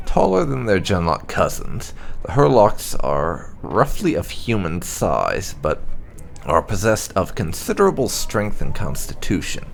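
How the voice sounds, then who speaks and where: Male narrator for fantasy codex readings, deep pitch, gravelly edge, music underneath. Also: a little hiss or hum. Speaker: gaming channel host. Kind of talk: scripted narration, reading lore entries aloud.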